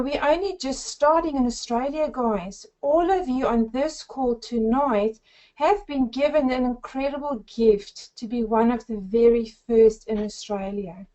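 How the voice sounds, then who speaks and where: A woman in her forties from South Africa with a South African accent, giving an effusive, upbeat sales pitch on a group call. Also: mellow, lo-fi sound. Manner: effusive, upbeat, addresses listeners as friends. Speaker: network marketing presenter.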